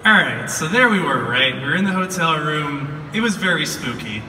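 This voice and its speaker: Sharp, very bright man, echoey room. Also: fairly high pitch, animated, moderate pace. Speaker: guest partner and video editor joining the story. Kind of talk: casual live storytelling. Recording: echoey hall, distant mic, some noise.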